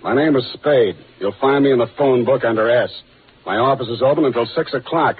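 A man's voice: mid-range voice, brisk, slightly rough. A man playing a cool, wisecracking private detective, terse and sardonic, in 1940s radio style. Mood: wry, cool. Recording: muffled, noisy old radio recording.

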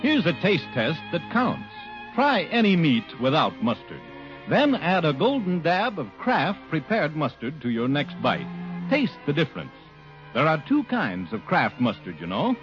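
A man's voice: fairly high pitch, wildly swooping pitch, unhurried. A man announcing a scripted 1950s radio commercial with emphatic phrasing. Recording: muffled vintage radio with music.

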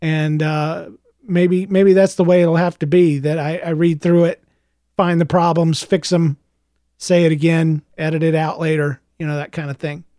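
A man with a high, slightly gravelly voice reads his own story aloud and then talks to his podcast listeners. He hesitates often, filling gaps with "uh" and restarting sentences. The sound is close and dry, with no room in it. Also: flat delivery, moderate pace, dark tone, dry recording. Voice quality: a little gravelly. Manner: hesitant, many fillers and restarts in chat. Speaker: podcast host and author. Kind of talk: reading his own book aloud, then casual chat.

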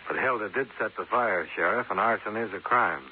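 Hissy old-radio actor as hard-boiled American investigator. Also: male voice, fairly low pitch, fairly quick, close to an everyday voice. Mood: measured. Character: hard-boiled male freelance insurance investigator, skeptical and businesslike. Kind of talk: 1950s American radio detective drama acting, with narration. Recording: noisy, hissy, narrow-band 1950s radio recording.

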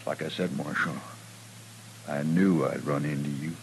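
A man's voice: very deep voice, steady tone, normal speed, creaky. An American actor playing a cold, jumpy hired gunman in an old radio western, curt, cagey and defiant. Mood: cool menace. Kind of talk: 1950s radio western acting. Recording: noisy old radio recording.

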